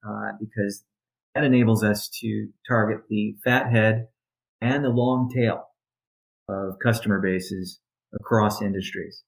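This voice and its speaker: A man speaking as a company chief executive on a conference call, in measured, deliberate and halting phrases. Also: on the low side, rough recording. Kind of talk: business update and question-and-answer on a conference call.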